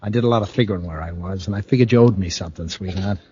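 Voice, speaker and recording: An actor playing a sly, wheedling American ex-convict blackmailer in an old-time radio drama, slangy and falsely sweet with menace underneath. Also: male voice, deep voice, racing, mellow, breathy edge, highs cut off. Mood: menacing. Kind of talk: 1940s radio drama acting.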